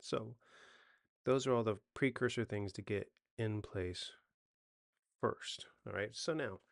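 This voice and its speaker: A man's voice: lowish voice, slow, creaky, dry recording, studio quality. A man in his forties giving sleep advice off the cuff, talking conversationally, correcting himself and often checking in with the listener. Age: 40s. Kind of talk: unscripted how-to talk to camera.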